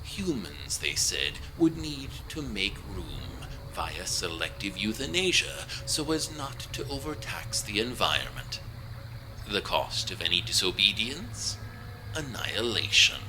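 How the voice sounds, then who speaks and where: A man narrating an audio drama anthology in a deliberate, theatrical style from a script. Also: lowish voice, very animated, noisy background. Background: music.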